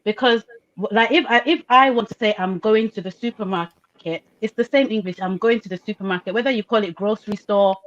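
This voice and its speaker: A woman's voice: medium pitch, a little fast. A woman with a Nigerian accent talks with passion and leans on rhetorical questions to drive each point home. Her voice sits in an ordinary middle range. The recording is a bit dull and lo-fi. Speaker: panelist. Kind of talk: casual discussion. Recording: dull lo-fi sound.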